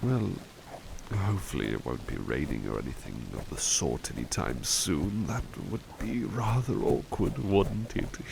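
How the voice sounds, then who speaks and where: Man acting as a slow, low-voiced, courteous butler. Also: sing-song, vocal fry, background noise, heavily acted. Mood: pondering, playful. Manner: hesitant, musing, polite, formal wording. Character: relaxed, courteous, old-fashioned butler painting outdoors. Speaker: ASMR roleplay performer. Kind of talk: soft-spoken ASMR roleplay.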